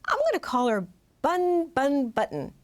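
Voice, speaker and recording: A woman voicing an excitable, tender preschool-age girl in a storybook, with pleading, sing-song exclamations. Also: high voice, measured pace, pitched above the performer's own voice, exaggerated voice. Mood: delighted. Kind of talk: children's storybook character voice.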